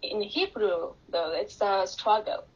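A woman's voice: mid-range voice, takes it slowly, husky. A woman whose first language is Persian asks the rabbi an earnest, thoughtful question during an online class. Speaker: class participant. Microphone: video call. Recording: dull video call audio with faint hiss.

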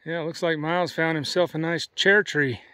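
A middle-aged American man with a folksy, excitable manner, narrating his own backpacking and fishing trip outdoors by a mountain lake, recorded on a handheld camera. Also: a little high, a little fast, smooth, dry recording. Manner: folksy, excitable, says "heck yeah". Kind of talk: casual outdoor vlog narration.

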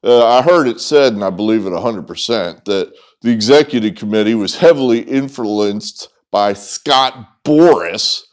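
A middle-aged man giving opinionated, off-the-cuff sports commentary in an emphatic, halting and at times sarcastic way. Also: on the low side, lively intonation. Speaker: sports vlogger, host. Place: indoors, small wood-walled barn room with whiteboards.

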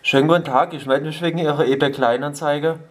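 Guy doing an odd old man prank caller, polite n stiff, deadpan, voice a bit high. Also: quick pace, natural voice. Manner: stilted, formal, deadpan, throat-clearing. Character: polite, eccentric elderly male prank caller. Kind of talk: improvised prank phone call. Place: small home kitchen, indoors.

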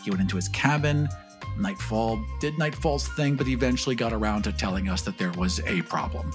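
A man's voice: lowish voice, brisk. A man narrating a tabletop game story as game master. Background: music.

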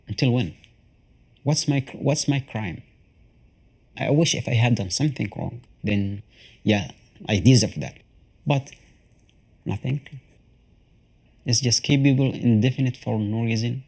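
A man in his thirties with a husky, gravelly voice, speaking in halting, English and often pausing to find his words. He is recorded indoors in a small apartment during an interview. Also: mid-range voice, mellow. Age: 30s. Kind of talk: emotional interview.

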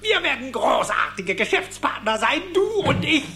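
A man's voice: extremely high pitch, very animated, moderate pace, vocal fry, pushed and bright, heavily acted. A man playing a rich old duck uncle in a cartoon radio play, shrewd, blustering and theatrical. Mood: gloating.

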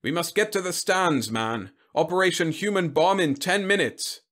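A man's voice: sits high, lively intonation, normal speed, a bit bright, pitched above the performer's own voice. A man voicing a big, overconfident, bullying army general in an audiobook story, speaking in an emphatic, blustering, snapping way. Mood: brisk, assured. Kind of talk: audiobook character acting.

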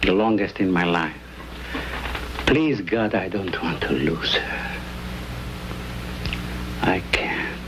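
An actor playing an anxious, worn-down middle-aged frontier husband, fretful and pleading, in an old television western. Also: male voice, sits low, slow, long pauses. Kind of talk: 1950s television western acting. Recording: very noisy vintage TV film soundtrack.

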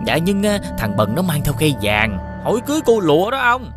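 An actor playing a flustered, obsequious household servant in an animated fairy tale, speaking in a hurried, servile way. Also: male voice, fairly high pitch. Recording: voice over background music.

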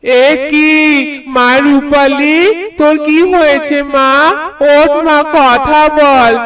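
A man voicing an affectionate, anxious elderly village matriarch in a cartoon story, with a drawn-out, pleading way of talking. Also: very high-pitched, flat delivery, far from the mic, crowd noise. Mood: grieving. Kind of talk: Bengali cartoon story acting.

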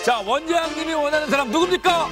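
A middle-aged man hosting a variety show in an excitable, exclamatory way, talking with a caller from a TV studio stage. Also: very high-pitched, fast talker, over loud music. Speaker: TV show host.

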